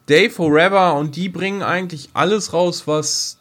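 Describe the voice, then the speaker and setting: A man's voice: on the high side, moderate pace, a bit bright. A man chatting casually as a podcast co-host, in a rambling, colloquial and enthusiastic way.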